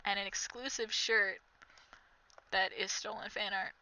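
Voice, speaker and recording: A woman's voice: medium pitch, steady tone, unhurried, bright, forceful tone, poor audio. A young woman doing sarcastic reaction commentary, reading text aloud and mocking it with exasperation, in a somewhat nasal voice. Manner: mocking, exasperated, reads aloud then jabs. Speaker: commentary vlogger.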